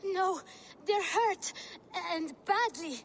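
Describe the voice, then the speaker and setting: A woman's voice: very high voice, expressive, drawn-out words, full character voice. A woman playing a timid, earnest little boy in a video game, hesitant and sincere. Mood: anxious, urgent. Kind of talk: video game cutscene acting.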